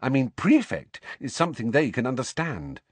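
A man voicing an earnest, slightly bossy teenage girl who is quick to bristle, in an audiobook. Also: mid-range voice, huge pitch swings, husky, dry recording, voice pushed up. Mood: tentative. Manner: earnest, tentative or indignant.

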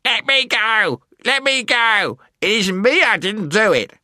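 Squealing, high-pitched little gnome. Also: male voice, very animated, unhurried, sharp tone, no room sound, studio quality, full character voice. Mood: panicked pleading. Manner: squealing, whimpering, pleading. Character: small, cringing, frightened male gnome creature.

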